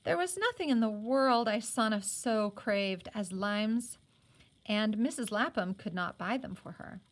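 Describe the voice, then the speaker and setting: A woman reading a children's novel aloud as a teacher would, in an expressive storybook style. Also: mid-range voice, measured pace. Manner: acts out the characters' speech.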